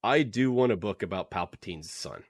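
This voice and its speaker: A man's voice: on the low side, lively intonation, moderate pace, no echo, clean studio sound. A man co-hosting a podcast, chatting casually and enthusiastically about books. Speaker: podcast co-host. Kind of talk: casual book club podcast chat.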